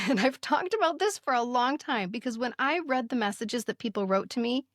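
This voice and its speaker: Higher-voiced woman co-hosting, close and dry. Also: lively intonation, a little fast, fairly bright tone, dry recording. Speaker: podcast co-host. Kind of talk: conversational podcast hosting, lightly scripted.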